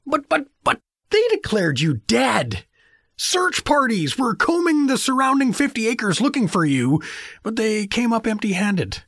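An actor playing a bewildered, wisecracking average dad, flustered, hesitant and sarcastic, in a fantasy comedy audio drama. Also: male voice, very high-pitched, big pitch swings, a little slow, a bit bright, no echo, studio quality, exaggerated voice. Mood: flustered, disbelieving. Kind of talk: audio fiction comedy acting.

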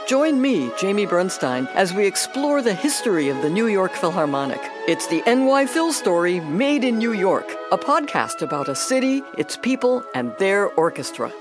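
Lively woman podcast host, scripted promo. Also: medium pitch, normal speed, hardly any pauses. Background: music.

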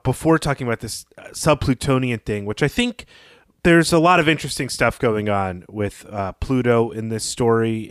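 A man speaks as a podcast co-host in an easy literary discussion. His pitch is medium and the sound is dry, free of any room echo. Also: fairly bright tone.

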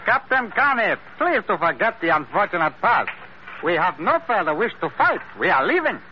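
Polite little crook, high lilting voice, formal, stilted, calculating, muffled old radio drama. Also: male voice, sing-song, normal speed, a little hiss or hum. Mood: smooth, polite. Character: small, polite, calculating Chinese villain with gun. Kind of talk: old-time radio adventure acting.

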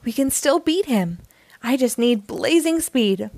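A woman's voice: a little high, expressive, unhurried, gravelly, a little mellow, dry recording, pitched above the performer's own voice, exaggerated voice. A woman voicing a brave, upbeat young male monster truck hero in an eager, exclamatory storybook manner. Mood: determined.

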